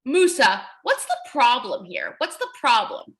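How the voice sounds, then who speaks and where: A woman reading aloud to young children as their teacher, encouraging and exaggerated, pausing to ask questions. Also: high-pitched, huge pitch swings, a bit bright.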